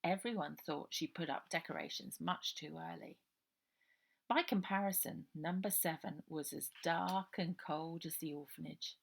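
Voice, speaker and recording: A woman's voice: low voice, normal speed, gravelly, husky. A woman author narrating her own children's novel aloud, as an unedited read-through.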